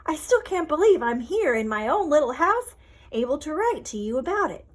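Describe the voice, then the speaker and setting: A woman voicing a chatty, relieved but shaken mother hen in an expressive, deliberate storybook read-aloud. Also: sits high, voice pushed up. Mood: amazed, relieved.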